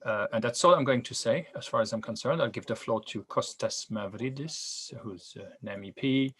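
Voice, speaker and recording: A man's voice: mid-range voice, normal speed, a bit bright, husky. A man moderating a seminar panel, speaking hesitantly with many fillers.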